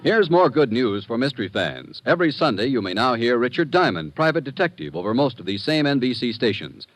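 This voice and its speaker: A man's voice: medium pitch, big pitch swings, a little fast. A man with an American accent reading scripted promotions as a polished, emphatic fifties radio announcer. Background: music.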